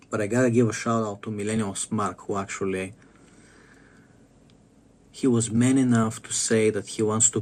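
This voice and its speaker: A man with a raspy Bulgarian accent and a scratchy throat, ranting bluntly and provocatively in a casual monologue, coughing and repeating himself. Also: fairly low pitch, darkish tone. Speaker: wrestling commentator vlogger. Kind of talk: casual opinionated rant.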